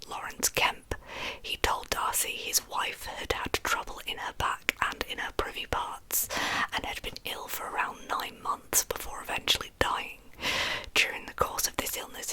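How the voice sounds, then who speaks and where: A woman telling true crime history in ASMR style as host, in a breathy, soft whisper with casual asides. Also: poor audio.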